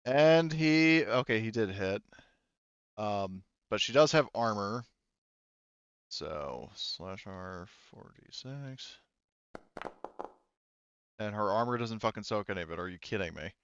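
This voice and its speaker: A man's voice: lowish voice, lively intonation, slow, pauses often, clean tone, dry recording, dull audio. A man running a tabletop game as game master, narrating casually and swearing freely.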